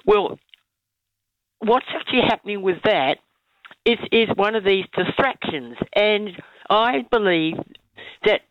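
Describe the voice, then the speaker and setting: A woman calling a talkback radio show, croaky from a cold, halting and earnest with many restarts. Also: medium pitch, lively intonation, dry recording, highs cut off. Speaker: caller. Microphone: phone line.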